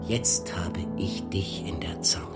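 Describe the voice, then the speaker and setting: Höhnischer, tiefer Kirchengesandter. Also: male voice, low-pitched, loud music behind the voice. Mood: gloating menace. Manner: sneering, pompous, deliberate. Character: menacing, gloating male church envoy, haughty inquisitor. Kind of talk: German radio play acting.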